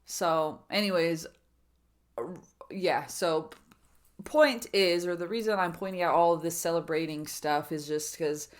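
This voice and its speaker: A woman's voice: fairly low pitch, unhurried. A woman in her thirties talking through mixed feelings in a personal vlog, rambling with many fillers and restarts, and acting out her own inner voices in quoted asides. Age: 30s. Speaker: vlogger.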